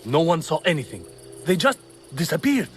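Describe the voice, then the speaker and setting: An actor playing a drunken, hapless slave owner in a video game, whiny and rambling. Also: male voice, on the high side, wildly swooping pitch, moderate pace, soft background music. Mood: muddled. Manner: slack, tipsy, rambling. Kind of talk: cinematic game dialogue.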